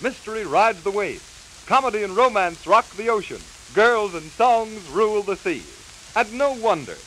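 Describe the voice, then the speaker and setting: A man delivering scripted 1930s promotional announcements with brisk, theatrical showman's hype. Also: high-pitched, animated. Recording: hissy, crackly 1930s 78 rpm record. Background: record hiss and crackle.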